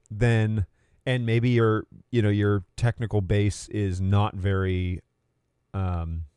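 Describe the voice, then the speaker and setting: A man with a lowish, soft-edged mellow voice presents a tech explainer in a casual, off-the-cuff way. He thinks aloud, rewording his points and checking in with the listener. The recording is close and dry. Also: no room sound. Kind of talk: unscripted explainer, casual and conversational.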